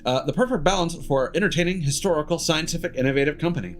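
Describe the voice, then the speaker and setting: A man's voice: a little high, fast. A man streaming a game, reading text aloud and adding cheeky, sardonic asides. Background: music.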